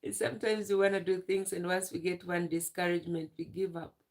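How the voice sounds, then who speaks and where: A young woman on a live stream, tearful and emotional, talking in halting phrases and repeating herself. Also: lowish voice, little pitch movement, darkish tone. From Uganda. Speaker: livestreamer.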